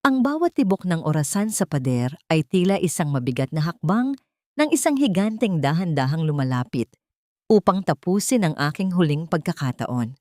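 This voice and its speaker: A woman reading a scripted first-person story with deliberate, dramatic phrasing. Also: fairly low pitch, very animated, a little mellow, no echo, clean studio sound. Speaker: audio story narrator.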